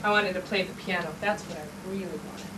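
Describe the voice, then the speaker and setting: A woman's voice: fairly low pitch, a little fast, distant mic, noisy background, lightly acted. An actress playing a proud, determined cleaning woman and mother, folksy and heartfelt in a theatrical monologue. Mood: yearning. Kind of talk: theatrical monologue over music.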